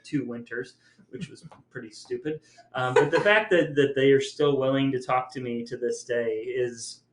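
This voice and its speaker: A man's voice: mid-range voice, a bit bright. Self-deprecating man, echoey room. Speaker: podcast host. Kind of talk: casual family reminiscing. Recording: echoey, roomy sound.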